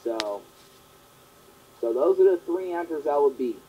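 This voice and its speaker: A young man with a husky, worn voice rambles earnestly through answers to fan questions, circling back on the same points. His throat is hoarse from a long illness, and the sound is a dull, lo-fi home recording. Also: a little high, lively intonation, a little slow. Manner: rambling, earnest, repeats himself. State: sore throat, partly lost voice from a long cough. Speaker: host of a fan question show.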